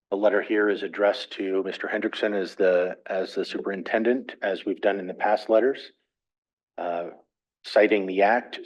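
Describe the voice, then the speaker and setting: A man with a low, deep voice presents a resolution to a council, methodical and measured as he reads through figures. The sound is dull and lo-fi, like meeting-room audio. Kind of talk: formal presentation.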